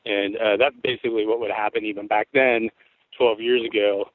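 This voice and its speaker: A man in his forties from Tacoma, Washington, with a rough, worn voice, telling stories in a rambling, laid-back way as a guest on an interview show. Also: lowish voice, flat delivery, normal speed, no echo. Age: 40s. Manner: rambling, laid-back storytelling with frequent swearing. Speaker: guest musician. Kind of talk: casual interview chat. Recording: tinny phone-like line, dry.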